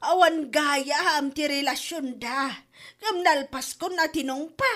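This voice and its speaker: A woman's voice: high voice, slow pace, a bit bright. A woman with an Ilocano accent playing a hot-tempered, nagging wife in a comedy drama, exclaiming and complaining. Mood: embarrassed, upset.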